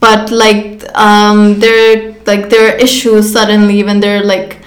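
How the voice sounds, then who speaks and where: A woman's voice: mid-range voice, completely flat delivery, unhurried, echoey room. An eighteen-year-old woman from Pakistan, a podcast guest explaining a topic in casual answers full of "like". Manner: frequent "like" fillers, explanatory.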